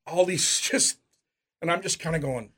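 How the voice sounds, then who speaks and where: Higher-voiced middle-aged American man telling stories. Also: normal speed, a bit bright, breathy edge. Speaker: high school coach, podcast guest. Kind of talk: casual anecdotal storytelling.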